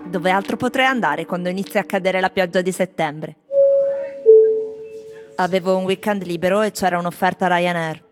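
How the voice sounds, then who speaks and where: A woman's voice: fairly low pitch, normal speed, natural voice. A woman playing foreign tourists in Rome, reciting interview answers expressively in a theatrical radio piece. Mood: declarative. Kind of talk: scripted theatrical monologue in Italian radio drama. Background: faint music.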